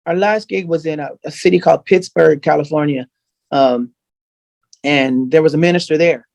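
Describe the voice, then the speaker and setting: A man's voice: on the high side, moderate pace, gravelly, dry recording. A man, a guest singer, chatting on a video call and telling stories with lots of restarts. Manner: animated, storytelling, frequent restarts. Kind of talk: casual chat on a video call.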